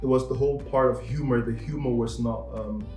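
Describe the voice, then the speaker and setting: A man's voice: medium pitch, steady tone, brisk, a little mellow. A young man from Cameroon hosting his own channel in a casual, playful, joking way. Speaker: channel host. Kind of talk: casual vlog chat. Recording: echoey room with music behind.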